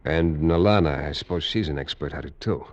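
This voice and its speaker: Low-voiced, hard-boiled radio adventurer. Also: male voice, a little fast, a little hiss or hum. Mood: wary, probing. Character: tough, wary American investigator narrating his adventure. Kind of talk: 1940s radio acting, first-person noir narration. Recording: dull vintage radio recording, faint music.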